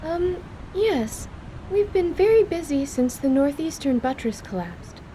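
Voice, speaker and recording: A woman playing an awkward, easily embarrassed young female mage in an audio drama, with halting, formal, precise wording. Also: sits high, very animated, warm tone, slight background noise. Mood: flustered, awkward.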